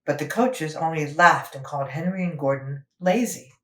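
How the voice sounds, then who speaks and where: A woman's voice: sits low, normal speed, reverberant. A woman storyteller narrating a children's book expressively, as if for young listeners.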